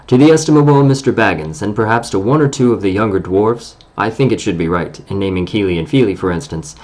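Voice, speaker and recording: A man's voice: on the low side, fast talker, a little mellow, natural voice. A man voicing a grave, pompous male dwarf leader who speaks in long, solemn speeches. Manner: solemn, long-winded oratory.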